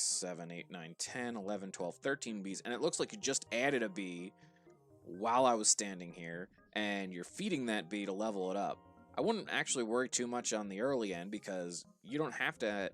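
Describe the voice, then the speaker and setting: Low-voiced man with a Jersey-Pittsburgh accent. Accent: New Jersey and Pittsburgh blend. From New Jersey. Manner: relaxed, instructive, with frequent restarts and fillers. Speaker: streamer guiding viewers. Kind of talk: casual live game commentary.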